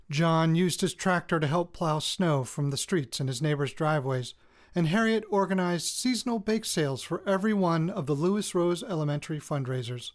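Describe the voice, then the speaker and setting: Actor as a weary, higher-voiced male radio reporter. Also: close to an everyday voice. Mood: composed, narrating. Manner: halting, self-interrupting, muttered cursing. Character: weary, jittery male radio reporter recording personal audio notes. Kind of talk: audio-diary fiction podcast acting.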